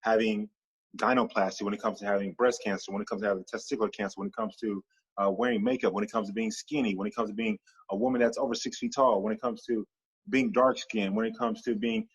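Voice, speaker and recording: A man speaking as a podcast guest in a casual interview, animated and stacking long repeated lists as he talks. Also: lowish voice, quick pace, slightly rough, highs cut off.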